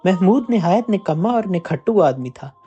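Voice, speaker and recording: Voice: medium pitch, lively intonation, moderate pace, warm tone, lo-fi sound, soft background music. A narrator reading a scripted moral tale as a storyteller.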